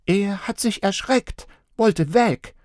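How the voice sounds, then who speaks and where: A man's voice: takes it slowly, darkish tone, no echo, studio quality. A man doing an eager, chirpy little girl. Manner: high chirpy child voice, eager. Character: small girl, eager and explaining.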